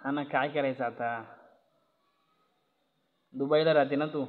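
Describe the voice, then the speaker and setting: A man's voice: medium pitch, steady tone, measured pace. Muffled young Maharashtrian man, coaxing.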